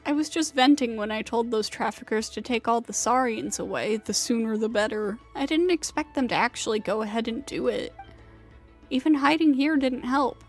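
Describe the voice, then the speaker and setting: A young female rider from a video game, played by a woman, worn out and full of self-doubt. Her voice sits fairly high and carries a bitter, venting weariness, with faint music underneath. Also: natural voice. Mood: frustrated, defeated. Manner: weary, venting, self-deprecating. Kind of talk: scripted video game voice acting.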